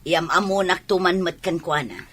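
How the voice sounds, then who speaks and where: Woman acting a husky, questioning mother. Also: on the low side, moderate pace, fairly bright tone. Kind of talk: Filipino radio-style drama acting.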